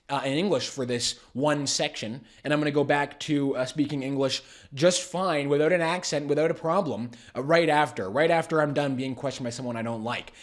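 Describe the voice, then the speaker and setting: A young Canadian man co-hosting a political commentary show, talking animatedly with frequent restarts. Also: fairly high pitch. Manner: animated, fast talker with many restarts. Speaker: co-host.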